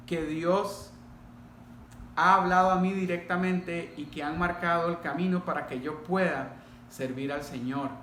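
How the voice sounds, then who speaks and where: Echoey middle-aged Costa Rican man, higher-pitched voice. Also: a bit bright. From Costa Rica. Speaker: pastor. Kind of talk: personal testimony told to a congregation. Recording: echoey room, faint background noise.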